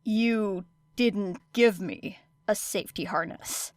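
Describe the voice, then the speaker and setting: A woman's voice: medium pitch, takes it slowly, no echo, lightly acted. A woman voicing a dry, sardonic teenage girl student in an audiobook, grudging and grumbly in manner. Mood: flat, wary. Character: wry, grumbling teenage girl hero student testing gear. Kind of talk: audiobook character acting.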